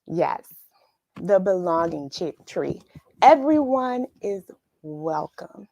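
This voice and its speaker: A woman's voice: on the low side, sing-song, slow pace, vocal fry, mellow, no room sound, muffled recording. A woman hosting a children's read-along, chatting casually and expressively to young viewers and musing aloud as she addresses them. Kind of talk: casual chat to young viewers after a story reading. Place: at home.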